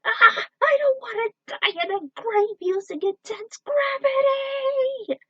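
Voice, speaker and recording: A woman voicing a complaining, melodramatic mutant monster in a story, whining and drawn-out, recorded lo-fi. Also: extremely high pitch, voice pushed up. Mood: miserable, whining. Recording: dull lo-fi home recording.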